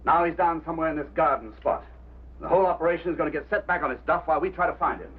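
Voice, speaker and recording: An actor playing a stern, impatient boss of a flying operation in a 1950s drama, curt and sarcastic, on a noisy vintage soundtrack. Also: male voice, fairly high pitch, rapid-fire, narrow, tinny audio, natural voice. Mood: irritated, sarcastic. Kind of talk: 1950s television drama acting.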